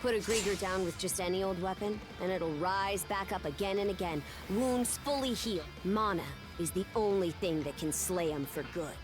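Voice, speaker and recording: A woman's voice: mid-range voice, moderate pace, few pauses, music in the background, close to an everyday voice. An actress voicing a brash, proud young pirate woman in a video game, with a blunt, taunting and confident delivery. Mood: proud, explaining. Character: brash young Norman pirate woman, sharp-tongued, proud, fierce fighter. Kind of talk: English video game voice acting.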